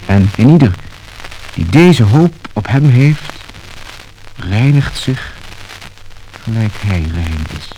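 A man's voice: lowish voice, animated, slow, long pauses, mellow, a little hiss or hum, poor audio. A man giving a solemn scripture reading on a mid-century disc recording. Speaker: religious reader.

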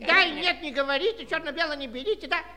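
A woman's voice: high voice, fast, pushed and bright. A woman playing a skeptical, pompous number one who likes to argue, in a Soviet children's audio play. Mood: pert. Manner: contrary, pompous, interrupting. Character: skeptical, self-important, argumentative numeral, the number one. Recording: 1960s studio recording, voices babbling behind.

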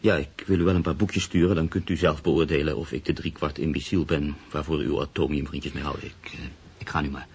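A man's voice: extremely low pitch, flat delivery, a little fast, gravelly, few pauses, husky, full character voice. An actor playing a proud, troubled middle-aged writer in a radio drama, formal and hesitant, brooding as he narrates. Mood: indignant. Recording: radio play with music underneath.

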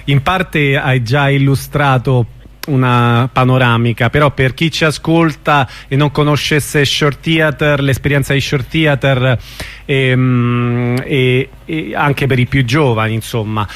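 A man's voice: medium pitch, fairly even intonation, slow, fairly bright tone. A man hosting a radio interview, asking questions with some hesitation and fillers. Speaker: radio host.

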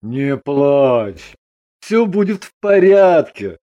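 A man voicing a gruff, wry but steady husband and father in a dramatised story. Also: on the high side, takes it slowly, muffled recording, full character voice. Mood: soothing.

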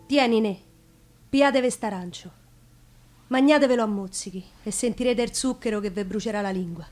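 A young Roman woman played by a stage actress speaks with a fairly high voice and a thick local dialect. She is ardent and dramatic, in a theatrical monologue that moves between hushed confiding and emphatic insistence. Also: moderate pace, natural voice. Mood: ardent, pleading.

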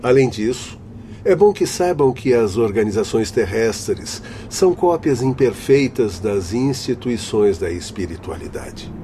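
Stern, learned doctor in a mellow voice played by a man. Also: medium pitch, animated, measured pace, a little hiss or hum, natural voice. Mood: grave. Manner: formal, didactic, emphatic oratory. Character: stern, learned male spirit doctor, admonishing guide. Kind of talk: radio drama acting.

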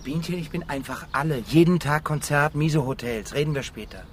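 A man's voice: on the high side, fairly quick, a little hiss or hum, lightly acted. An actor playing a tired, evasive family man and lover who placates others in naturalistic radio drama dialogue. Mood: weary, evasive.

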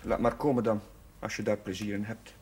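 An actor playing an earnest, progressive priest under strain in a television drama, speaking tersely. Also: male voice, on the low side, little pitch movement, fairly quick, noisy background, close to an everyday voice. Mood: terse, stung. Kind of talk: Dutch television drama acting.

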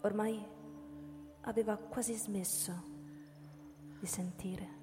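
A woman's voice: mid-range voice, fairly even intonation, slow pace, music in the background. A woman giving a dramatic literary narration as the narrator of a radio play. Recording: reverberant hall sound.

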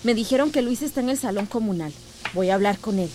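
A woman's voice: medium pitch, fast talker, mellow, close to an everyday voice. A woman acting an earnest, impatient schoolteacher in a radio drama. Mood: exasperated, firm. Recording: faint background noise.

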